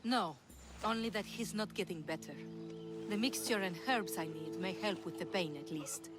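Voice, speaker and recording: A woman's voice: fairly low pitch, normal speed, lightly acted. An actress playing a bold, self-assured young woman who yearns for heroic glory, in video game cutscene dialogue over music. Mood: earnest, burdened.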